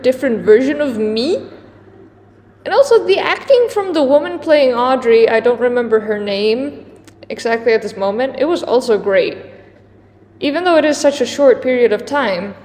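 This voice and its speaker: A woman's voice: sits high, a little mellow. A woman giving casual countdown commentary to camera, conversational and a little hesitant, with dramatic emphasis on certain words. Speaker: host of a countdown video. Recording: echoey reverberant room, clear background noise.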